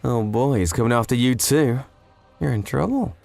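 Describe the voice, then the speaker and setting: A man's voice: medium pitch, normal speed, creaky, darkish tone, no echo, lightly acted. A man voicing a cocky, gleeful knife assassin in a manga story, taunting and manic.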